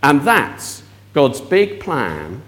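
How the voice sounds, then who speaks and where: A man's voice: mid-range voice, wildly swooping pitch, drawn-out words, a bit bright. A man leading an interactive children's talk in church, asking the audience questions.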